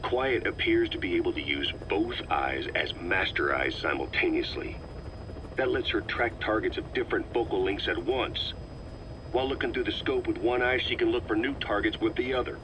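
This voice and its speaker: An actor playing a calm, calculating middle-aged military officer in a video game, speaking with measured, persuasive assurance. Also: male voice, on the low side, noisy background, natural voice. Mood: measured, explanatory. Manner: measured, assured, reasoning patiently. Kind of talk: dramatic game voice acting.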